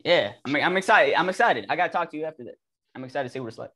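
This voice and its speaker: A man's voice: fairly high pitch, animated, very fast, a bit bright. A young man hosting a casual interview podcast from his room, upbeat and friendly with hesitant fillers. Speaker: podcast host.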